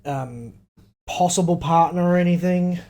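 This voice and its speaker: Higher-pitched Australian man, blunt and sweary. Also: unhurried, a little mellow. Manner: blunt, emphatic, swears freely. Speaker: podcast co-host. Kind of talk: casual banter between mates.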